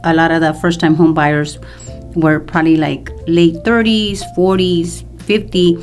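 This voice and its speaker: A woman's voice: fairly low pitch, flat delivery, a little slow, darkish tone. A woman in her fifties from El Salvador, talking conversationally and explaining at length as an interview guest. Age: 50s. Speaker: mortgage loan professional, podcast guest. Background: music in the background.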